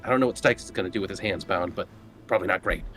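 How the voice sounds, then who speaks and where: A man's voice: sits low, racing, a bit bright, soft background music. A man playing in a tabletop roleplaying game, talking casually at the table as a player.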